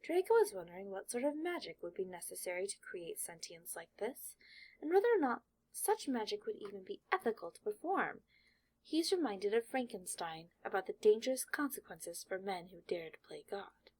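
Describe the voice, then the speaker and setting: Husky woman reading a storybook. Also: medium pitch, big pitch swings, moderate pace, a little mellow, low-quality recording. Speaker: audiobook narrator. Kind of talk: fan fiction audiobook reading.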